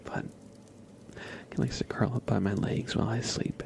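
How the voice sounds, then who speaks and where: A man hosting an ASMR livestream, chatting casually and gently to viewers in a soft breathy whisper, close to the microphone. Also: on the low side, steady tone, moderate pace, a little hiss or hum. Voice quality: breathy whisper with heavy fry.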